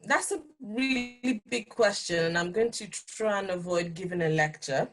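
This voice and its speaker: A woman academic speaking unscripted on a panel, explaining things in a thoughtful, halting way. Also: mid-range voice, soft background music.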